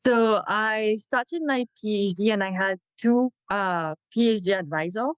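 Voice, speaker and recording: A woman from France with a French accent, a researcher answering interview questions in a plain, slightly halting way. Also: medium pitch, clear, resonant tone, no echo. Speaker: guest researcher.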